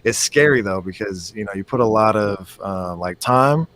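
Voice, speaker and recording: A man's voice: fairly low pitch, animated, moderate pace, fairly bright tone, slight background noise. A man as a guest musician in a casual video call chat, rambling with many fillers and restarts.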